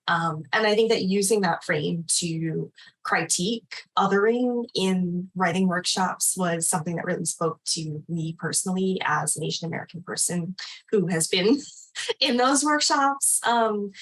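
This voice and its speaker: A woman's voice: fairly low pitch, fairly bright tone, rough recording. A woman hosting a literary event, talking casually and off the cuff in an appreciative, affirming way. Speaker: host and literary magazine editor at a launch party. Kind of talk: casual, spontaneous event moderating and discussion.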